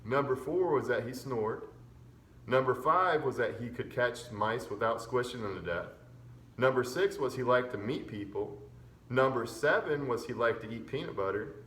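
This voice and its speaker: A man's voice: mid-range voice, fairly bright tone. Male teacher reading aloud in a roomy space. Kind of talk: expressive children's chapter book read-aloud. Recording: roomy echo with background noise.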